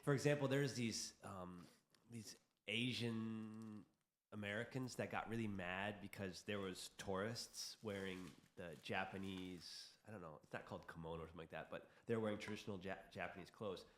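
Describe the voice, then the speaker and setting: Low-voiced man rambling with a laugh. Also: moderate pace. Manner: rambling, opinionated, laughs at himself. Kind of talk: casual podcast chat. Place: room in Taiwan. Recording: roomy echo.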